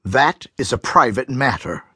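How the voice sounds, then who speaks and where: Slow, husky, pompous school principal. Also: male voice, medium pitch, lively intonation, pushed and bright, no echo, studio quality, full character voice. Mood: curt, scolding. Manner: pompous, scolding. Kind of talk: full-cast children's audiobook acting.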